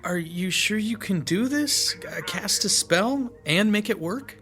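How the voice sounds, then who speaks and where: A man's voice: high voice, lively intonation, a little slow, bright, forceful tone, soft background music, exaggerated voice. An actor in a radio drama playing an uneasy, skeptical young man who questions everything. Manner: doubting, questioning.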